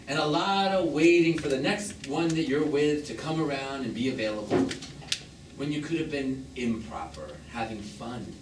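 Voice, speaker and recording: A man's voice: medium pitch, normal speed, lightly acted. Noisy, far-off actor as flippant middle-aged man. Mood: defiant. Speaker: stage actor. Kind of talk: live stage drama acting. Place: small theatre, recorded from a distance. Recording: very noisy, echoey stage recording from afar.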